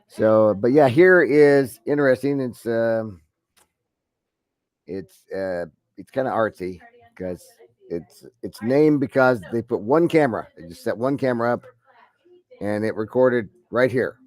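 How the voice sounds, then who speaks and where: A man's voice: medium pitch, measured pace, a little mellow, no room sound. A middle-aged man with a head cold teaching an online math class, rambling with many fillers and restarts. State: head cold, deep congested voice.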